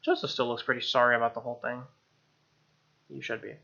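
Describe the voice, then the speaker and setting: Casting: young male gamer, bright forceful voice, slangy and exasperated, narrating a playthrough on a muffled mic. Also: medium pitch, level tone, fast talker, vocal fry. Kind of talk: casual commentary and read-aloud narration. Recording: dull lo-fi mic, music underneath. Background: game music.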